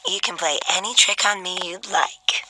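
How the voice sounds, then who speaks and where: A woman's voice: fairly low pitch, normal speed, bright, forceful tone, no echo. A woman voicing a coy, playful young character in a fantasy video game.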